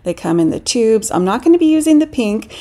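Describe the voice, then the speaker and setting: A woman's voice: fairly high pitch, big pitch swings, brisk, dark tone. A middle-aged woman narrating a do-it-yourself decorating tutorial as a voice-over, explaining each step in a friendly, instructive way. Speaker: DIY craft channel host.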